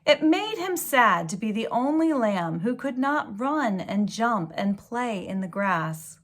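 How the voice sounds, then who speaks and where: A woman reading a children's storybook aloud like a teacher, with expressive delivery and gentle asides. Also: fairly high pitch. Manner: slow, deliberate, expressive storytelling.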